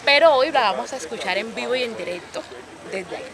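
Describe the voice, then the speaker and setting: A woman's voice: on the high side, quick pace, crowd noise. A woman from Palenque, hosting a travel vlog and speaking straight to her viewers. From San Basilio de Palenque, Colombia. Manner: lively, addresses viewers directly. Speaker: travel vlogger host. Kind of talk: casual travel vlog presenting. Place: outdoors in a village. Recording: outdoor recording with loud music and street noise.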